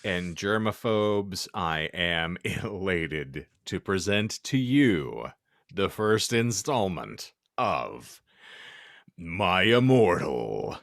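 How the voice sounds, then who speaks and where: A man with a low, very animated voice hosts a casual podcast in a dry, close recording. He phrases things in a wordy, theatrical way, as if presenting a grand show. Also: very slow, creaky, dry recording. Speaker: podcast host and narrator of a fan fiction reading.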